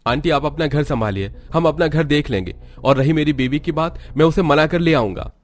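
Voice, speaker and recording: A man's voice: fairly high pitch, expressive, fairly quick, voice pushed up, natural voice. A man voicing an indignant young master of a wealthy household in a cartoon story, scolding and emphatic. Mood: curt, defiant. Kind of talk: Hindi cartoon story voice acting.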